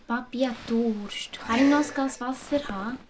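A woman's voice: fairly high pitch, normal speed, background noise, natural voice. A woman playing a pleading, childlike young girl in a Swiss German radio play. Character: young girl begging Papi for bedtime story.

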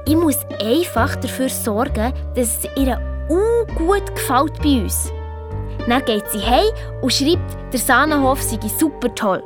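A woman's voice: high voice, expressive, a little slow, over music. A woman playing an eager, bossy young girl detective with a Swiss accent, telling her story in a children's radio play. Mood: excited.

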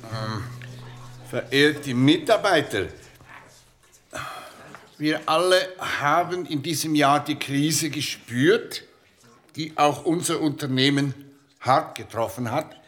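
An actor playing a retiring insurance boss in his sixties, giving a formal, halting farewell speech in a radio play. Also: on the high side, fairly bright tone. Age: 60s.

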